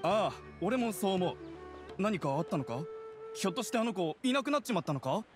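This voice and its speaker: Lively, helpful game townsman. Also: sits high, a little fast. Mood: helpful, concerned. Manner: casual, concerned. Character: friendly, helpful young townsman, fantasy game character. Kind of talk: anime game voice acting. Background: background music.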